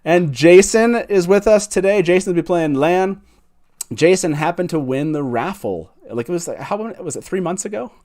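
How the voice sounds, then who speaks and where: A man's voice: sits high, animated, moderate pace, a little mellow. A man hosting a live fan talk show in a casual, enthusiastic and emphatic way.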